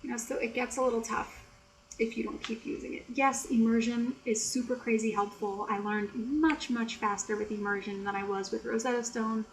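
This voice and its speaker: An American woman chatting softly and explaining things in a casual, teacherly way, with frequent "you know". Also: fairly high pitch, fairly even intonation, moderate pace, poor audio. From United States. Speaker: ASMR creator and English tutor. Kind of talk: soft-spoken ASMR chat.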